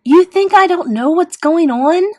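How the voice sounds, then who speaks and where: A woman reader plays a young boy in a storybook drama, hurt and quick to anger. The voice lifts well above a normal speaking pitch and pushes out accusations in loud outbursts. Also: lively intonation, no room sound, clean studio sound. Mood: furious. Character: angry, hurt young boy, shrieking at his family.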